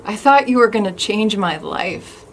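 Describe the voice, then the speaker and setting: Woman acting raw, wounded woman, bright-voiced and furious. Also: mid-range voice, normal speed, slight background noise, close to an everyday voice. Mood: hurt, accusing. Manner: emotionally raw, emphatic. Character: wounded, furious woman confronting someone who failed her. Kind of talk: dramatic monologue acting reel.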